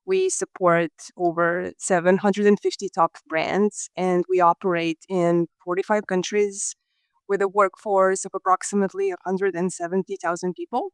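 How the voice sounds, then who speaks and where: A woman from Croatia, a podcast guest explaining her hiring work in a measured, businesslike way. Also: on the low side, lively intonation, smooth, no echo. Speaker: guest, talent acquisition leader. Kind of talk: podcast interview answers.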